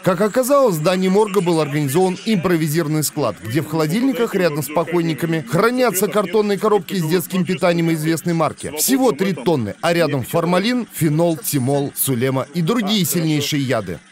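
A man's voice: fairly high pitch, animated, fairly quick, few pauses, crowd noise. A man in his thirties presenting the news from a studio with scripted, sardonic commentary.

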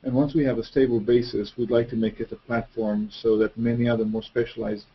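A man's voice: mid-range voice, level tone, a little fast. A man moderating an online course Q&A from an office, hesitant with many fillers. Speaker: course instructor hosting a video call. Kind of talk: casual online Q&A. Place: office with painting on wall. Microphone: webcam mic on video call. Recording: muffled video-call audio.

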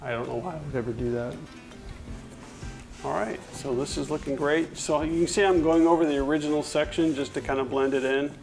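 Gravelly man chatting through a staining job. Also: a little high, a little fast, low-quality recording. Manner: relaxed, rambling, self-correcting mid-sentence. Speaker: furniture refinisher. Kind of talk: casual how-to narration while working. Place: indoor workshop at a paint-spattered table. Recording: music playing under the voice. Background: music.

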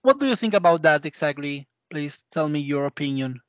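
Argentinian guy on a video call interview, spanish native, halting english, searching for words, pretty dry sound. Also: a little high, very animated, fairly bright tone, no room sound. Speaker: podcast guest, professor of Persian. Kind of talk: interview conversation. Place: indoor room, video call.

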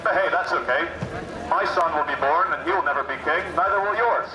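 A man's voice: fairly high pitch, a little fast, reverberant, distant mic, background noise. A man in his thirties doing sarcastic street satire through a megaphone outdoors in a busy city street. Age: 30s. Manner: mock-patriotic, sarcastic, declaiming. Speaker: street activist comedian. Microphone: megaphone, filmed by handheld camera.

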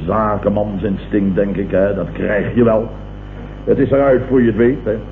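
An actor playing a pompous, indignant company boss in a 1970s Dutch radio comedy, mockingly echoing what others say. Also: male voice, lowish voice, fairly even intonation, normal speed, narrow, tinny audio, lightly acted. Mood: blustering, smug. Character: blustering, indignant self-satisfied company boss and uncle. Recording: noisy, roomy old radio broadcast recording.

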